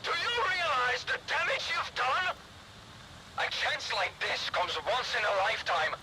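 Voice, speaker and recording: An actor playing an obsessive, irritable scientist in a game, fervent and agitated, heard through a noisy radio transmission. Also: male voice, extremely high pitch, big pitch swings, a little slow, full character voice. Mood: angry, accusing. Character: obsessive, irritable male scientist driven by his life's work.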